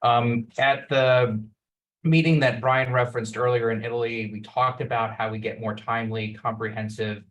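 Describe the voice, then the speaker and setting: A man's voice: medium pitch, steady tone, normal speed, a bit bright, poor audio. A man speaking as a working group co-chair in an online meeting, earnest and listing points with frequent ums. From United States. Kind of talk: opening remarks in an online meeting.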